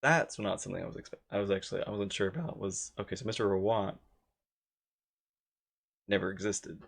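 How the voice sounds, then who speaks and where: A man's voice: on the low side, brisk, creaky, fairly bright tone, husky. A man giving casual live reactions as a game streamer. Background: faint game music.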